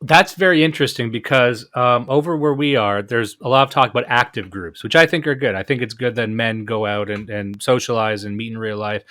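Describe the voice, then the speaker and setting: A man's voice: medium pitch, brisk, hardly any pauses, a bit bright, no room sound. A man hosting a casual podcast conversation, joking with dry humor. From Canada. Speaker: podcast host.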